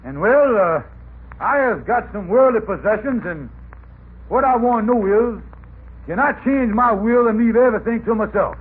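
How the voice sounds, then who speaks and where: An actor playing a folksy, hesitant middle-aged husband in old radio comedy, stammering through ungrammatical talk. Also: male voice, high voice, animated, normal speed. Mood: sly, earnest. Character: scheming, long-married middle-aged man, hapless club leader. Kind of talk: 1940s radio comedy acting. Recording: tinny, noisy old radio recording.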